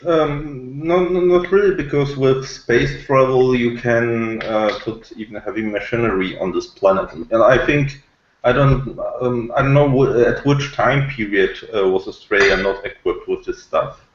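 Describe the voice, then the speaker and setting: A man reviewing on a podcast in casual discussion, talking in a halting, argumentative way. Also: medium pitch, roomy sound, lo-fi sound.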